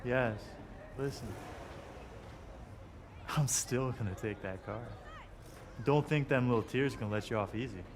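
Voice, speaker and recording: An actor voicing a laid-back, cocky young male street racer in a video game, wry and deadpan. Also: medium pitch, unhurried, long pauses, noisy background, lightly acted.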